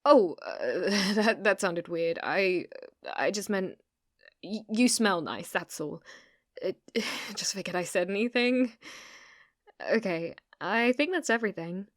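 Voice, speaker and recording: Woman acting slow, obsessive British stalker girl. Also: medium pitch, lively intonation, dry recording, studio quality. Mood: polite, probing. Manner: halting, flustered, slipping into breathy menacing whispers. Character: obsessive, delusional young female shop cashier stalker. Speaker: ASMR roleplay voice actor. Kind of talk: ASMR roleplay, close and intimate.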